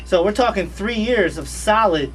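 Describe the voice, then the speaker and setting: A man's voice: high voice, expressive, moderate pace, fairly bright tone, far from the mic. A man in his thirties, a guest on a radio show, talking in a laid-back, slangy way and repeating his phrases. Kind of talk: casual radio interview chat. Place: radio studio.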